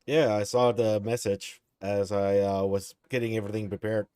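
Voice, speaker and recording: Sleepy, low-voiced male streamer. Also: flat delivery, moderate pace, warm tone, clear, resonant tone, dry recording, studio quality. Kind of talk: casual live-stream chat.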